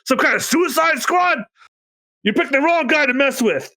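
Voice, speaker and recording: A man's voice: very high voice, lively intonation, normal speed, pitched above the performer's own voice, full character voice. A man doing a ranting brash gang boss. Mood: indignant. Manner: loud, ranting. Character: furious brash male shipwright gang boss.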